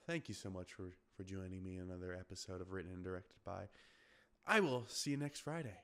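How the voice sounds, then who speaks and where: Young male podcast host, very low voice, loose rambling delivery full of ums and restarts. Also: a little fast, a bit bright, a little hiss or hum. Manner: rambling, many fillers and restarts. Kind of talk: casual solo podcast chat.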